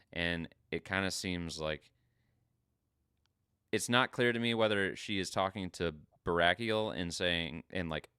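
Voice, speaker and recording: A man hosting a podcast, recapping a story in an informal, sweary and halting way. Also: deep voice, pushed and bright, clear, resonant tone, dry recording, clean studio sound. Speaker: podcast host. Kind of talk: casual review and story recap.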